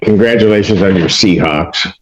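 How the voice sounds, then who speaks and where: A man's voice: low-pitched, normal speed. A man presiding as judge in a courtroom hearing, bantering and teasing. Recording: dull courtroom video audio with faint hiss.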